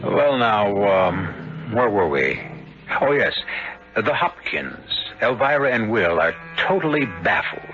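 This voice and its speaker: An actor playing an urbane, wry mystery storyteller, hosting a 1970s radio drama in a teasing, deliberate, theatrical way. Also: male voice, on the low side, very animated. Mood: wry, recapping. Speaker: host narrator of a radio mystery series. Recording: dull, noisy old radio broadcast. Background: music.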